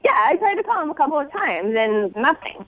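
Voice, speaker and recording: Young girlish woman caller, highish voice, says like a lot, dull lo-fi phone sound. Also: big pitch swings, brisk, no room sound. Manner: girlish, filler-heavy, says "like" constantly. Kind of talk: casual chat.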